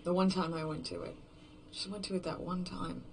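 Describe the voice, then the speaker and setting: A performer voicing a despairing, obsessive lone survivor in a horror fiction podcast, brooding and halting in a confessional monologue, often dropping toward a whisper. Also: mid-range voice, flat delivery, gravelly, a little hiss or hum, natural voice, low-quality recording. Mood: anxious brooding.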